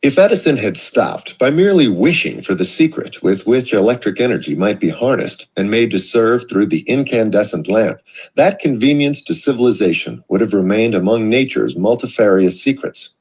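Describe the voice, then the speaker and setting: Reader: adult man, husky tone, lively pitch, steady read-along narration. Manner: measured, expressive reading. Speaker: audiobook reader.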